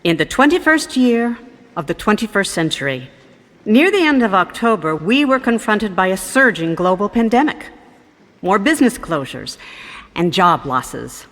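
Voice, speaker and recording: A woman's voice: medium pitch, very animated, normal speed, a bit bright. A woman playing an earnest, composed museum guide in a radio drama, speaking formally and with ceremony. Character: composed, earnest female museum guide narrating Martian history. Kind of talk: scripted radio drama narration, lecture-like. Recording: long echoing hall reverb.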